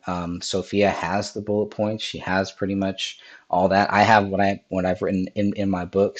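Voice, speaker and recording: A man hosting a live-streamed podcast, hoarse from a cold, talking through video clips with lots of ums and restarts. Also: on the low side, darkish tone. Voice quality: raspy. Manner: rambling, many ums and restarts. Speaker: podcast host.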